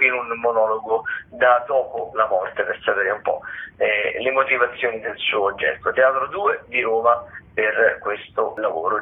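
Halting man on a tinny phone line. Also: on the low side. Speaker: theatre critic, radio contributor. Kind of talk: spoken radio listings rundown.

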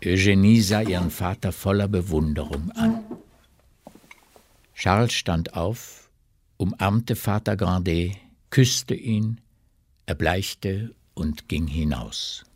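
Deep-voiced male radio drama narrator. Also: lively intonation, slow, darkish tone, breathy edge, no room sound.

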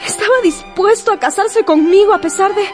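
Casting: high-pitched young heroine of a period romance, proud and emotional, formal diction, over music. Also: female voice, brisk, darkish tone. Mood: shaken, ashamed. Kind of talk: Spanish-language radio drama acting.

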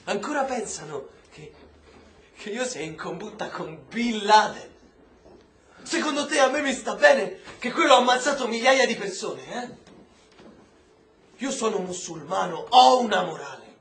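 Sardonic prisoner, high voice, slangy swagger, bitter, brotherly, radio drama, roomy faintly noisy recording. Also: male voice, lively intonation, measured pace, long pauses, fairly bright tone, full character voice. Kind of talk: stage-style radio drama acting. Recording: roomy stage sound with faint noise.

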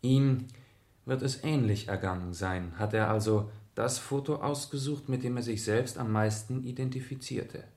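An actor playing a courteous, inquisitive gentleman detective in a radio play, polite and measured. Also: medium pitch, natural voice. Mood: explaining calmly. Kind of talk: 1970s German radio play acting.

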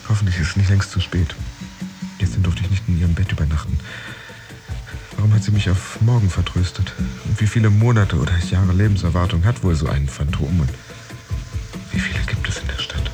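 An actor playing a brooding man who secretly pretends to have a job, in a measured, introspective inner monologue for a radio play. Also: sits low, lightly acted. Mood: anxious, uncertain. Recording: music behind the voice. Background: music.

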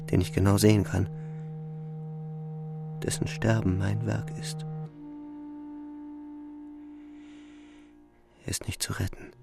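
An actor playing an anguished young soldier in a radio play, delivering a grave, halting, intense first-person monologue. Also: male voice, lowish voice, creaky. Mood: numb guilt. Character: anguished young male soldier recounting a killing in a shell hole. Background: music.

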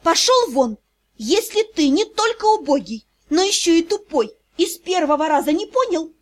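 A woman's voice: very high voice, animated, normal speed, pitched above the performer's own voice. A woman voicing a fearless, hot-tempered young vampire noblewoman in an audiobook, imperious and scolding. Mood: icy, threatening.

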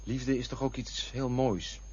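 An actor playing a defensive, wistful man in a Dutch radio play, touchy and drifting into lyrical memories, with a husky voice. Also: medium pitch, steady tone, a little slow, a little mellow, a little hiss or hum, lightly acted. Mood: wistful reminiscing. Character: defensive, wistful man looking back on lost loves. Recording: dull, lo-fi radio play recording.

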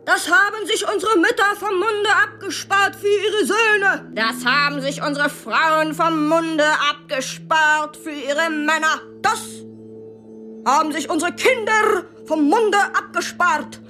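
A very high, girlish voice plays at being a pompous army commander. The delivery is slow and declamatory, handing out orders with great self-importance, in radio drama style. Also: pushed and bright, faint music behind, exaggerated voice. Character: young girl mimicking bossy, pompous soldier Herr Adolf. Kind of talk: German radio drama acting.

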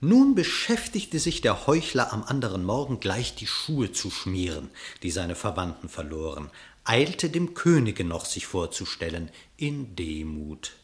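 A man narrating an epic verse fable for a radio play in an expressive, literary storytelling manner. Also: low-pitched, a little slow.

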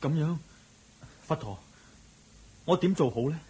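A man's voice: fairly high pitch, expressive, measured pace, mellow, breathy edge, full character voice. A man playing a troubled younger family man in a radio drama, plaintive and earnest, with a Hong Kong Cantonese accent. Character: A-Wang, anguished younger family man seeking monkhood.

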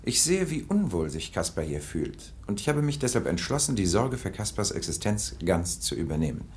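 An actor playing a haughty, decisive English lord in a German radio drama, speaking in a grand, formal, theatrical manner. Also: male voice, on the low side, sing-song, moderate pace, a little mellow. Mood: grandly resolute.

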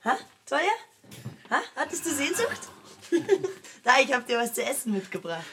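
An actress playing a lively, affectionate young lover in a naturalistic German radio drama, with a playful, teasing manner. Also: female voice, sits high, normal speed, a little hiss or hum, exaggerated voice. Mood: playful, bustling.

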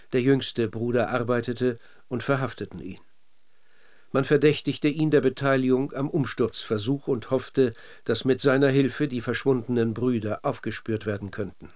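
A man with a standard German accent narrates a scripted radio documentary drama in a measured, factual way. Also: medium pitch, a little mellow.

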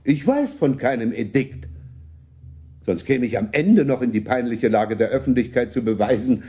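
Casting: a man as sly elder nobleman for radio drama, relaxed dark timbre, medium pitch, ironic. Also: moderate pace, lightly acted. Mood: dryly amused. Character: wily, avuncular older prince, slyly evasive. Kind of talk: German radio play acting.

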